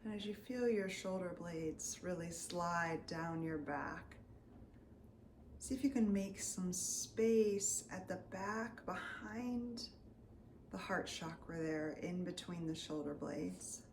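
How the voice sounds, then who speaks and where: A woman leading a guided meditation as a Reiki healer, soothing and unscripted, often drifting into breathy near-whispers. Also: on the low side, takes it slowly, a little mellow. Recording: roomy echo, faint background noise.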